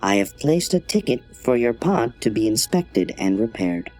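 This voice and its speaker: A man's voice: medium pitch, big pitch swings, normal speed, a little mellow, faint music behind, lightly acted. A man voicing a polite but obtuse customer-service AI in an audio drama, formal, scripted and impassive. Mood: officious. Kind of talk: automated assistant in audio drama.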